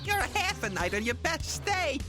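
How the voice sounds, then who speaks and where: A woman's voice: high voice, big pitch swings, normal speed, pushed and bright, faint music behind, full character voice. A woman playing a sly, exasperated male orc gang boss in an audio drama, drawling with sardonic condescension. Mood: mocking.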